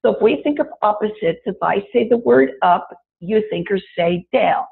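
Female wellness teacher, gravelly from recent illness, talks straight to listeners, thin phone-line sound. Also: mid-range voice, moderate pace. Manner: warm, chatty, addresses listeners directly. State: raspy voice after illness. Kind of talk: guided body-awareness talk. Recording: tinny phone-like audio.

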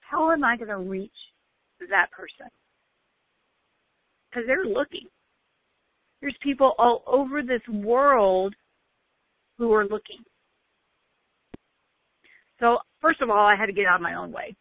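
A woman giving a motivational talk with a loud voice, stretching out key words for emphasis. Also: fairly high pitch, expressive. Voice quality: loud, bellowing voice. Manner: emphatic, drawn-out stresses. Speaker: motivational speaker. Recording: tinny phone-like line, dry.

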